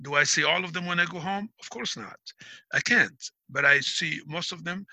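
A middle-aged man speaks with a piercingly bright, tense tone, laying things out as if teaching a class. His delivery is proud and emphatic. The sound is dry and close, with no room echo. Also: fairly high pitch, normal speed. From Algeria. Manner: explains like a teacher. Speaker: interviewee. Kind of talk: casual interview talk.

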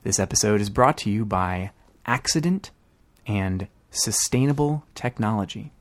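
A man co-hosting a podcast, reading scripted news and commentary in a measured, articulate way. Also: lowish voice, animated, very noisy. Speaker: podcast co-host.

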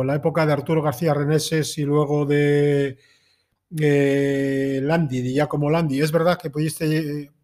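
A male interviewer asking questions in a casual way, pausing with hums and fillers. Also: a little high, darkish tone. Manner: hesitant, fills pauses with "eh" and "mm".

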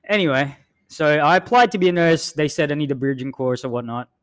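A man who grew up in the Philippines, co-hosting a podcast in a laid-back, self-deprecating way with many pauses and um's. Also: a little high, expressive, a bit bright, clear, resonant tone. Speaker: podcast co-host. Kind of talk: casual storytelling chat.

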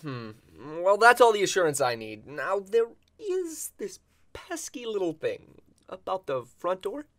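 Theatrical, exasperated haunted mansion owner. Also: male voice, high-pitched, huge pitch swings, measured pace, no room sound, full character voice. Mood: matter-of-fact. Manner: dramatic, rambling complaints. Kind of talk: audio comedy sketch acting.

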